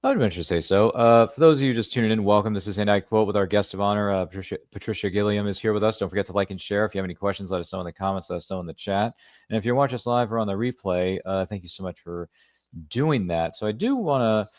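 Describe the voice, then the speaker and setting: A man's voice: fairly low pitch, quick pace, a little mellow, no room sound. A man hosting a live interview show, chatting casually with jokey, rambling asides. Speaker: podcast host.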